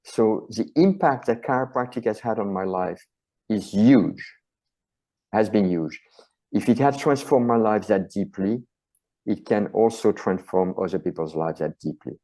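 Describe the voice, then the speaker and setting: A man's voice: fairly low pitch, measured pace, warm tone. A middle-aged man with a French accent, a chiropractor and author answering interview questions earnestly and passionately, often stacking phrases into lists.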